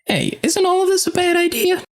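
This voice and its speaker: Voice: extremely high pitch, brisk. An actor playing a playful, whimsical fight organizer in an audio drama, chatty and teasing.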